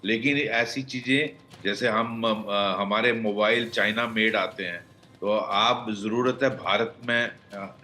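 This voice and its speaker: A man giving expert commentary on a live news panel, speaking haltingly with frequent pauses. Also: fairly low pitch, sharp tone.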